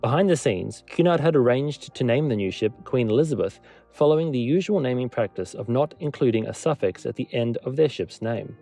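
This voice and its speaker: A man's voice: mid-range voice, animated, fairly quick, mellow. A man presenting a scripted history documentary as a narrator and lecturer. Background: background music.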